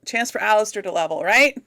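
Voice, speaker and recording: Woman rambling through casual game commentary, lively pitch. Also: normal speed. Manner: rambling, talks to game characters. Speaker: host of a video game let's play. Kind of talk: casual gameplay commentary to an audience.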